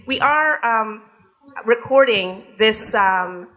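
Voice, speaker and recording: A woman's voice: fairly high pitch, animated, slow. Woman welcoming a room at a panel. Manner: warm, chatty, with frequent fillers and restarts. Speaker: radio station executive and event host. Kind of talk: welcoming remarks at a live panel event. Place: event room with an audience.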